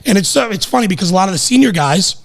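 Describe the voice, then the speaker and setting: Middle-aged male podcaster, voice a bit high, emphatic, plain-spoken, informal. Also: lively intonation, very fast, vocal fry. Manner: emphatic, repeats himself, salty blue-collar phrasing. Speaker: podcast host and firefighter. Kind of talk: casual, animated shop talk. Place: small podcast room indoors at a table. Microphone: podcast microphone on a stand.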